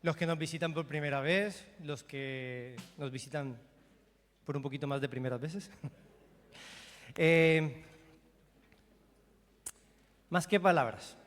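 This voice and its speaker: Colombian pastor preaching in an echoing hall. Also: male voice, on the high side, takes it slowly, long pauses. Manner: conversational, asks the congregation questions. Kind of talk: sermon. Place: church hall. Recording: long reverb of a church hall.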